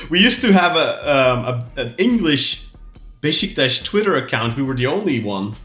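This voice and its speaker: A man co-hosting a casual podcast, rambling with plenty of fillers and restarts. His voice sits at an ordinary middle pitch. The sound carries a noticeable echo from the room. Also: very animated, normal speed, fairly bright tone, soft background music. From raised outside Turkey. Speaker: podcast co-host. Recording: roomy echo.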